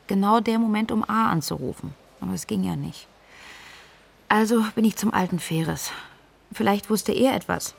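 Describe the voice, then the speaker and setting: An actress playing a blunt, restless young student in a radio play, speaking in a matter-of-fact, naturalistic way. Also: female voice, on the low side, gravelly, a little hiss or hum. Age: young adult. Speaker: actor in radio drama. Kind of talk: naturalistic radio play acting, interview-like narration.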